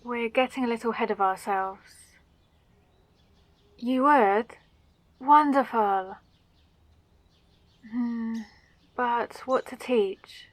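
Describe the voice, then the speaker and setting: A woman playing a kind, eager mage who teaches magic gently and encouragingly in a roleplay audio drama. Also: fairly high pitch, slow, pauses often, no room sound. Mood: cheerful, musing.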